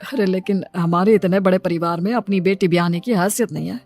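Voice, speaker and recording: A woman voicing a loving, aging widowed father in a cartoon moral story, gentle and earnest. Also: on the low side, fairly quick, faint music behind. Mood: humble, earnest.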